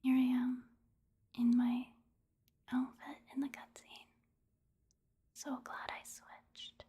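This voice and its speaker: A woman softly whispering casual ASMR gameplay commentary in a breathy, hushed, unhurried way. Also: high voice, flat delivery.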